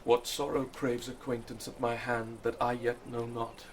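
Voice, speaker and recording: A man's voice: mid-range voice, little pitch movement, moderate pace, natural voice. An actor with a British accent playing an anxious, sorrowful young man in hiding in Shakespearean radio drama. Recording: clearly noisy background.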